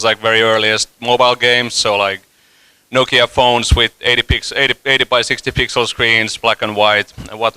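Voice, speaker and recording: A man's voice: mid-range voice, sharp tone, clean tone, dry recording. A man in his thirties giving a casual, joking conference talk, with many fillers and restarts. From Finland. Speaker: conference speaker, company CEO. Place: conference hall stage.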